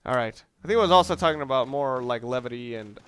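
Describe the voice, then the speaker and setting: A man's voice: mid-range voice, expressive, quick pace, a bit bright, smooth. A man doing casual, unscripted game commentary, thinking aloud in a rambling way and often correcting himself. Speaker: let's player.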